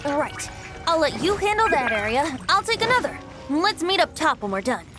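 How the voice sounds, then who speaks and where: A woman's voice: high-pitched, sing-song, normal speed, loud music behind the voice. A woman voicing a carefree, teasing young male bard in a video game, light and boyish with a lilting delivery. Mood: breezy, wistful. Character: playful, carefree youthful male bard, secretly wise. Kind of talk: video game character acting.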